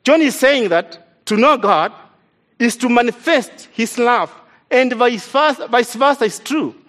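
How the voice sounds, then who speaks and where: High-voiced East African man preaching. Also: animated, measured pace, a bit bright. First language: an African language, not English. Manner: earnest, emphatic, repeats key lines for stress. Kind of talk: sermon.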